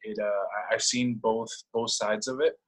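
A man's voice: fairly low pitch, fairly even intonation, unhurried. A young man from El Salvador talking casually and personally in conversation, searching for words and repeating himself as he goes. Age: young adult.

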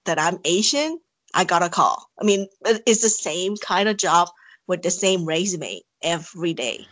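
A woman's voice: on the low side, expressive, moderate pace, fairly bright tone, dry recording. A woman from Thailand, speaking English with a Thai accent, answering interview questions in a hesitant way with many fillers and restarts. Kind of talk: casual interview conversation.

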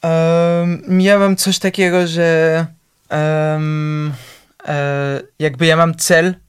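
A man, a guest musician in a casual interview, who speaks haltingly and leans on lots of fillers. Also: a little high, flat delivery, clean tone. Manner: hesitant, halting, heavy use of fillers.

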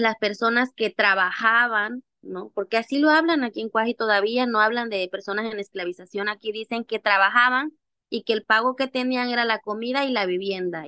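A young adult woman from Mexico's Costa Chica, with a Costa Chica accent, telling her own story in an interview in an animated way. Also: on the high side, clean tone, no echo. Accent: Costa Chica Mexican Spanish. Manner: animated, acts out remembered dialogue. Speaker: interview guest, activist. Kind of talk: personal storytelling in conversation.

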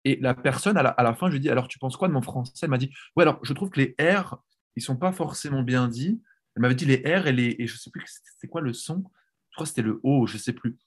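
A man talking as a guest on a podcast, animated and informal, often restarting his phrases. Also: mid-range voice, quick pace, slightly rough. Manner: animated, frequent restarts and "tu vois". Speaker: language coach, podcast guest. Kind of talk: casual podcast conversation.

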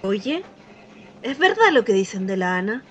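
A woman's voice: medium pitch, big pitch swings, moderate pace, highs cut off, a little hiss or hum, lightly acted. A woman playing a prying, chatty small-town Chilean gossip in a naturalistic radio drama. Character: nosy, gossiping small-town female coworker.

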